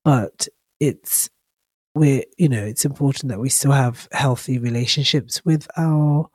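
A woman in her thirties hosts her own podcast with a stuffed-up, congested voice from a cold. She talks slowly and reflectively, pausing often with ums and restarting her sentences. The sound is dry and close, with no room echo. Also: a bass voice, a little mellow, breathy edge. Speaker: podcast host. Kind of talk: casual solo podcast talk.

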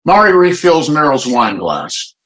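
A male announcer narrates scripted action for an audio play. His voice sits fairly high for a man and moves with lively intonation. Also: a little slow. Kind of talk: scripted narration of stage directions.

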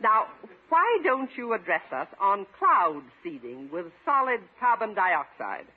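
An actress playing a brisk, refined society club woman in a 1950s radio comedy, formal, precise and politely condescending. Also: a little high, big pitch swings, husky, exaggerated voice. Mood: pleased, gracious. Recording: muffled, tinny 1950s radio recording.

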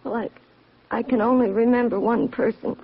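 A woman's voice: fairly high pitch, steady tone, normal speed, breathy edge. An actress playing an earnest, worried young woman in a 1940s radio drama. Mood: subdued, anxious. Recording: muffled old radio recording.

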